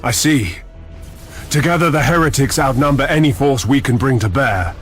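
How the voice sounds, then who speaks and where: An actor playing a loyal, determined admiral in a video game cutscene, speaking in formal, earnest military fashion. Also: male voice, mid-range voice, normal speed, lightly acted. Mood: eager, resolute. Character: loyal, determined male admiral serving a space empire. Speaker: subordinate fleet officer. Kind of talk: scripted dramatic game cutscene acting. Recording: music behind the voice.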